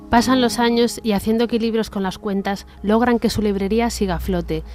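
A woman's voice: fairly high pitch, fairly even intonation, a little fast, darkish tone. Female radio drama narrator, scripted storytelling, light music bed. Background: faint music.

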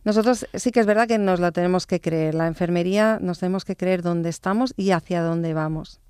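A woman in her fifties, a nurse, talking thoughtfully in an interview, with hesitant restarts and phrases that trail off softly. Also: fairly low pitch, brisk, clean tone, no echo, studio quality. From born in England, raised in Barcelona. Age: 50s. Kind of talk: conversational interview.

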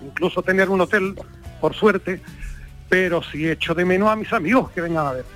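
Rambling high-voiced male caller. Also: moderate pace, fairly bright tone, soft background music. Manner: rambling, talks at length. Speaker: caller, hotel owner. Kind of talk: phone-in chat.

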